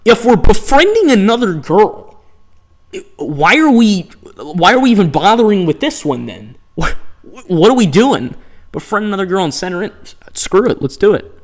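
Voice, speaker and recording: A man's voice: high-pitched, sing-song, normal speed. A man live-streaming a dating game, reacting with sarcastic, incredulous commentary and reading options aloud.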